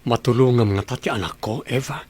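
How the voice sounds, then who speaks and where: A man's voice: medium pitch, fast talker, breathy edge, lightly acted. A man playing a ranch-owning father who worries about his young son, earnest and paternal. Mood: worried. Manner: earnest and pleading, warmly paternal. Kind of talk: radio drama acting.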